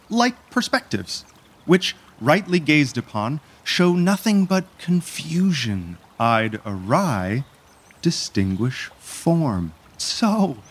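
A man playing a smooth royal courtier in a Shakespeare audio drama, consoling in ornate verse. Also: fairly high pitch, full character voice.

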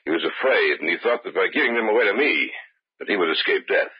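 An actor playing a fearful man who survived a doomed expedition, speaking gravely and deliberately in old-time radio drama style. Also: sits low, expressive, exaggerated voice. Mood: fearful, resigned. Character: haunted, fearful male expedition survivor recounting doom. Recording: muffled old radio broadcast, loud music behind.

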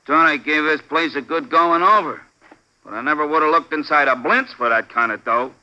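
An actor playing a bullying, uneducated gangster in a 1950s radio crime drama, barking threats in slang with an American accent. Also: male voice, on the high side, normal speed, pushed and bright, slight background noise. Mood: menacing. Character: menacing, uneducated gangster killer, bullying and smirking. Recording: muffled vintage 1950s radio recording.

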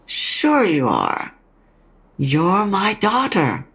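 An actress playing a frank, consoling older mother near death in an audio drama, speaking slowly and haltingly with a husky, creaky voice. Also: mid-range voice, huge pitch swings, fairly bright tone, full character voice. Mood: consoling, earnest. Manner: slow, halting, probing. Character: dying mother, frank, consoling, stubbornly insistent.

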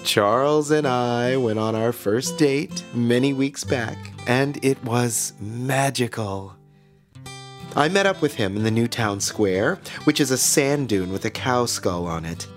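An actor playing a relentlessly cheerful, eerie small-town radio host in a scripted monologue, theatrical and drawn-out, with a smile in his delivery. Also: medium pitch, expressive, natural voice. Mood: dreamy, delighted. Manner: theatrical, smiling, deliberately drawn-out. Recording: music behind the voice.

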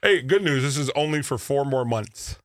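A man's voice: medium pitch, animated, normal speed, very noisy. A man co-hosting a radio show, talking in a slurred, lisping way because of a device in his mouth, laughing often as he chats. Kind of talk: casual banter.

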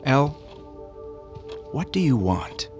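An actress playing an experienced therapist in an audio drama, husky and composed, asking probing, direct questions. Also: female voice, very deep voice, very animated, slow, music in the background, heavily acted.

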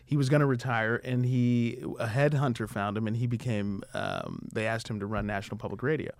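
A man from Washington, D.C., a guest actor and director, telling stories in a relaxed podcast chat and laughing often. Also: mid-range voice, fairly quick, no room sound, clean studio sound. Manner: laughs often, animated anecdotes. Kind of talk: casual storytelling chat.

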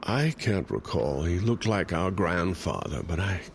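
An actor playing a guilt-worn, wry brother in an audio drama, speaking haltingly and naturalistically. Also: male voice, low-pitched, very animated, vocal fry, slight background noise, exaggerated voice. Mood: defensive, hesitant.

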